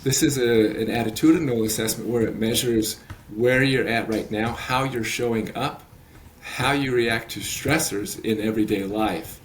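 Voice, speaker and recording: A man's voice: mid-range voice, fairly even intonation, moderate pace, hardly any pauses, a little hiss or hum. A man in his late thirties, a coach talking as a podcast guest, earnest and rambling with many fillers and restarts. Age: 30s. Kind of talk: podcast interview.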